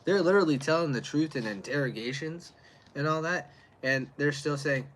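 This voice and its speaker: A man's voice: on the high side, normal speed, a bit bright, slight background noise. Rambling man chatting outdoors. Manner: rambling, answers chat, loose and plain-spoken. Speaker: livestreamer reviewing beer. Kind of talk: casual livestream chat. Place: outdoors in chilly air.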